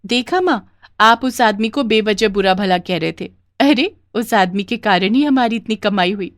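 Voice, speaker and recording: A woman voicing a sensible, hopeful young daughter who talks earnestly and reassuringly in an animated moral story. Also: mid-range voice, normal speed, no room sound, studio quality, natural voice.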